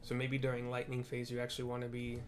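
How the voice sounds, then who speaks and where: A man commentates on a video game in a casual, unscripted way, with a medium-pitched voice. He thinks aloud as he goes, rambling through explanations and often rephrasing what he means. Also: monotone, quick pace. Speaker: gameplay commentator.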